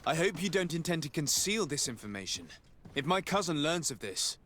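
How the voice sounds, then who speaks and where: An actor playing a composed, insistent noble legate in a video game, speaking formally and persuasively. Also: male voice, on the high side, sing-song, a little fast, a bit bright. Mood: concerned, resolute. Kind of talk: game cutscene acting.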